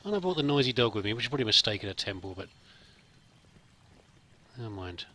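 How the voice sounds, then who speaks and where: A man's voice: on the low side, sing-song, fast talker, pushed and bright. A man giving casual, in-character roleplay commentary over a video game, rambling politely and hesitantly. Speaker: let's play host. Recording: faint background noise with game ambience.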